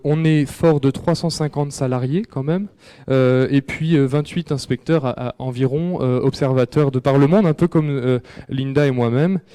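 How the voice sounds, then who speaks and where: Brief: man as an officious, stumbling male official, mellow voice, echoey hall. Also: a little high, clean tone, natural voice. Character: bumbling male official of a global library body. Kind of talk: live comic sketch. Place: large hall at a library event.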